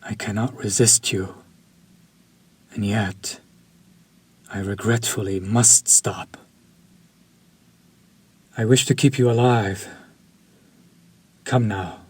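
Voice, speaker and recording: An actor playing a refined, possessive male vampire chef who speaks formally, slowly and with quiet menace, in an audio roleplay for a listener. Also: lowish voice, steady tone, breathy edge. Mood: seductive. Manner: slow, formal, seductive and menacing.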